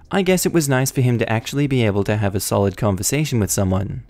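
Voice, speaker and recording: A man's voice: mid-range voice, a little mellow, no room sound. A man narrating online forum stories aloud, reading evenly with the odd wry aside. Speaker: story narrator.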